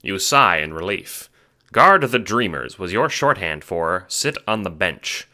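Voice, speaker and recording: A man's voice: low-pitched, big pitch swings, measured pace, pushed and bright. A man reading scripted, second-person dramatic narration for an audio drama.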